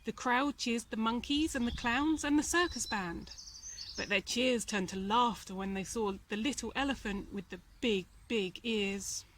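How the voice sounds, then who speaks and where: A woman narrates a children's story in a fairly high voice. Her reading is expressive, in the manner of a storybook read aloud to children. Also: measured pace, a little hiss or hum. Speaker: volunteer storybook reader.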